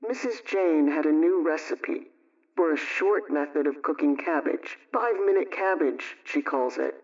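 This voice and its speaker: A man reading an old household radio script aloud in the first person, in an even, unhurried way. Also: a little high, distant mic. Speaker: reader. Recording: dull lo-fi sound, away from the mic.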